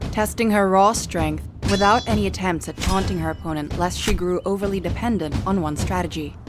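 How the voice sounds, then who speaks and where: Female narrator for a fantasy saga, lowish voice, scripted dramatic storytelling over soft music.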